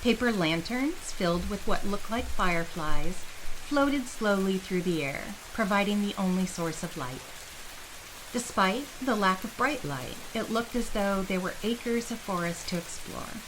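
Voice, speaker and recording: Woman narrating fantasy over steady hiss. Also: medium pitch, unhurried. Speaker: story narrator.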